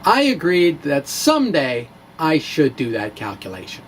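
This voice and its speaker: A man giving a theatrical, emphatic dramatic reading of a science blog, with deliberate pauses. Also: fairly high pitch, huge pitch swings, slight background noise. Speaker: science blogger and presenter.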